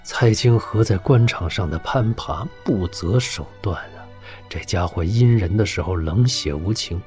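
A low, weary old warlord. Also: male voice, a little mellow, soft background music. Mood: weary, reminiscing. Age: older. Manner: slow, earnest fatherly counsel. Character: weary, dying old warlord father, blunt and tender. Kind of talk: audio drama acting.

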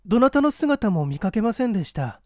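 A man's voice: high-pitched, very animated, fairly quick, warm tone, no room sound, studio quality, voice pushed up. A man voicing a polite, composed young lady witness in a mystery audiobook, with courteous, refined speech.

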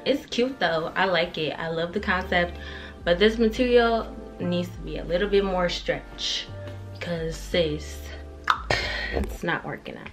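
Young woman doing a casual haul vlog, kinda low voice, lots of slang n swearing, soft music in back. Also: a little slow. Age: young adult. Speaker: fashion vlogger. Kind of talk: casual chatty try-on haul. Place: carpeted bedroom indoors.

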